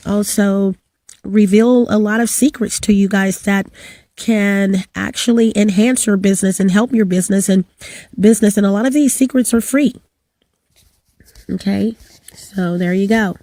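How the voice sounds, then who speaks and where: Hoarse woman, middle-aged, rambling to-camera vlog, dry close sound. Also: medium pitch, dark tone, no room sound. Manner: rambling, many fillers and restarts. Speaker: vlogger. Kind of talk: casual to-camera vlog with announcements.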